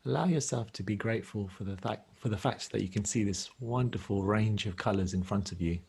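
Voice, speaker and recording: A man leading a guided meditation as a teacher, speaking gently and without hurry, leaving long pauses. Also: lowish voice, vocal fry, breathy edge.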